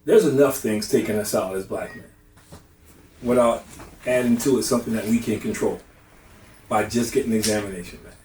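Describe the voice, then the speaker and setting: Fast, earnest middle-aged man. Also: fairly low pitch, a little mellow, a little hiss or hum. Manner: earnest, advising, emphatic. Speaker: discussion participant. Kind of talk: candid group discussion. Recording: roomy, distant mic.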